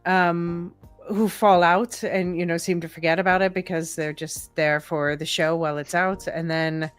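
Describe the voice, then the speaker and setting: A woman's voice: fairly low pitch, steady tone, moderate pace, clear, resonant tone, no room sound, soft background music. A woman chatting casually on a fan livestream, rambling with many fillers. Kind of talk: casual chat.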